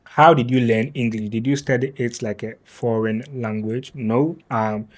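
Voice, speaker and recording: Young man, Ghanaian accent, YouTuber, casual vlog, mid-pitched, chatty, hesitant, lots of fillers. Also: steady tone, a little slow. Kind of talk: casual vlog, answering questions to camera.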